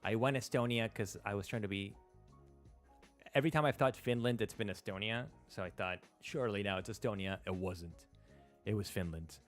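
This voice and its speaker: A man's voice: on the low side, a little fast, soft background music. A man in his thirties, a native Spanish speaker, hosting a live stream and commenting casually as he plays, thinking aloud with many fillers and restarts. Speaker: livestream host. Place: small room at a desk. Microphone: close studio mic on a stand.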